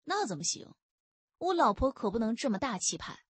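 Lady doing a young guy constable, plain and dry, teasing, audiobook style, husky. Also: on the high side, sing-song, moderate pace, dry recording. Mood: earnest. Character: young male constable, plain, good-natured, dryly teasing.

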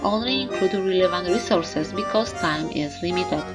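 Low-pitched woman explainer, English. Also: normal speed. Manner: simple, slightly unidiomatic English in short phrases. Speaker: host of an educational video channel. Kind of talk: scripted explainer narration. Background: background music.

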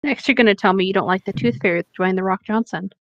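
A woman's voice: medium pitch, fast talker, dry recording. Teasing woman on a dull, dry mic. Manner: teasing, joking. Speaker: co-host reading fan fiction aloud. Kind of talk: casual comedic banter.

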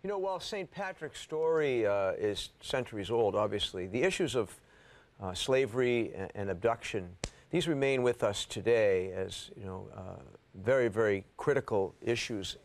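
A middle-aged man co-hosting a studio talk show, putting measured questions to a guest. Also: on the low side, lively intonation. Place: TV studio, indoors.

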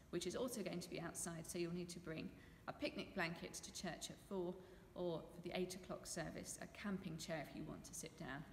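A woman's voice: on the low side, gravelly. A British woman speaking as a vicar, giving notices to her congregation in a church. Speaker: vicar leading the service. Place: stone church interior. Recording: echoey church with long reverb, faint noise.

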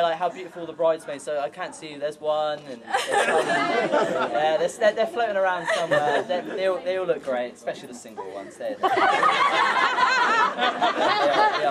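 A man in his twenties delivers a best man speech outdoors in a pub garden, his voice high and bouncing all over the place as he jokes and tells stories. He is taped by a distant camera, with a laughing crowd and loud noise all around him. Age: 20s. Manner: joking, storytelling, chuckling through lines. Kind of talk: wedding speech. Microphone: far camera. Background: laughing wedding crowd.

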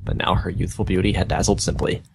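Low, gravelly young man reading aloud. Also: steady tone, fast, background noise. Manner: stumbling over garbled text, often breaking off bemused. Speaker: fan fiction reader on a gaming video. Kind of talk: reading a story aloud with amused asides.

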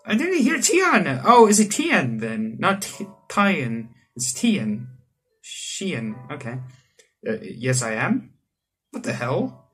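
A man in his late teens hosting a let's play, reading visual novel text aloud and breaking into animated asides. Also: on the high side, slow, soft background music. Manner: animated asides, exclaiming between read passages. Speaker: gaming channel host. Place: small room indoors at home.

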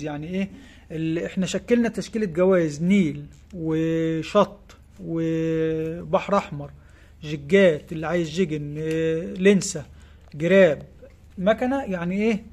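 A man's voice: fairly high pitch, normal speed, darkish tone. A man with an Egyptian Arabic accent, a fishing tackle shop owner, casually presenting giveaway prizes in his shop and coaxing children along, often checking in with "tamam?".

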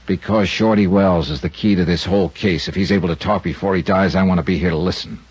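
An actor playing a clipped, wry insurance investigator who narrates a 1950s radio drama. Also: male voice, sits low, steady tone, quick pace, a little mellow, breathy edge. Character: hard-boiled insurance investigator man. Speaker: detective and narrator. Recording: dull 1950s radio recording with music.

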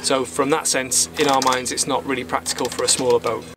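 A man's voice: medium pitch, little pitch movement, brisk. A man in his thirties hosting an instructional sailing vlog, explaining things plainly to camera. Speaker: sailing channel host. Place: outdoors on a small boat in a marina. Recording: outdoor marina background noise.

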